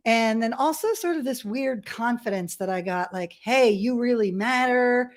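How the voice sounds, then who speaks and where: A middle-aged woman from Ethiopia, telling personal stories as an interview guest in a candid, animated way with self-deprecating humour. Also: on the high side, normal speed. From grew up in Ethiopia as a missionary kid. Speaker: podcast guest. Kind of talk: conversational personal storytelling.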